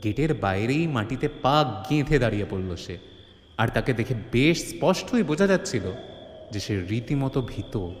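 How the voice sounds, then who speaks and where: A man's voice: mid-range voice, expressive, moderate pace, in a large hall. A man narrating a scripted story theatrically, with suspense and wry colloquial humour.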